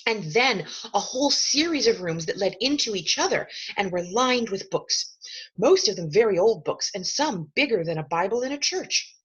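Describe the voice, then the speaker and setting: Animated, husky woman reading a storybook. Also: mid-range voice, normal speed, highs cut off. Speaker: storyteller reading a children's novel aloud. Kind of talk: expressive storybook narration.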